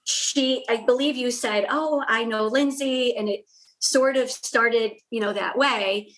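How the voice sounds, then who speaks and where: A woman's voice: on the high side, slow, low-quality recording. Reflective middle-aged woman guest. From United States. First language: Portuguese. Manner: warm, reflective, frequent "um" and "you know". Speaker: salon owner guest. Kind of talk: casual interview chat.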